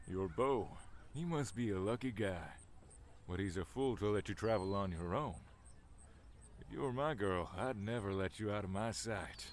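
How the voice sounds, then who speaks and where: A man's voice: lowish voice, normal speed, natural voice. An actor playing a folksy, flirtatious, prying rustic man in an audio drama. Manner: drawling, flirtatious, inquisitive. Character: folksy, forward middle-aged rustic male stranger.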